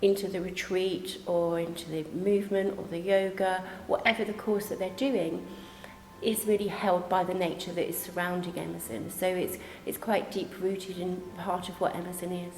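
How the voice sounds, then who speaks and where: Low-voiced woman in an echoey room. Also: flat delivery, moderate pace, darkish tone. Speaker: college staff member. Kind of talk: informal promotional interview. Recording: echoey reverberant room, music behind. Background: background music.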